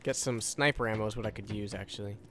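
A man's voice: fairly low pitch, steady tone, quick pace, noisy background. A man giving casual live gameplay commentary, offhand and profane, musing aloud. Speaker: gamer recording a walkthrough.

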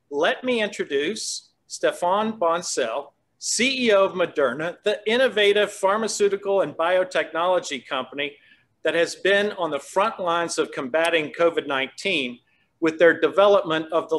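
A man's voice: fairly high pitch, lively intonation, moderate pace, fairly bright tone. An older man hosting a virtual awards event over a video call, reading a formal welcome and asking interview questions. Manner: polished, formal announcer delivery. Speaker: host, association president. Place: open-plan office, indoors.

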